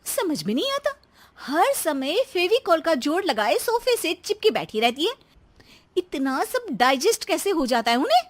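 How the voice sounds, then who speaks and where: A woman playing a young housewife in an animated moral story, complaining in a dramatic, whiny manner. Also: high voice, normal speed. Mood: exasperated. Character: exasperated young Indian daughter-in-law, complaining housewife.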